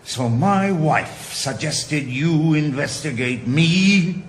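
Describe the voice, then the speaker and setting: A bitter retired detective. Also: male voice, on the high side, lively intonation, takes it slowly, slight background noise. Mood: angry. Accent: Welsh. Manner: sardonic, blunt, gruff. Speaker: lead actor. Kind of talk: radio drama acting.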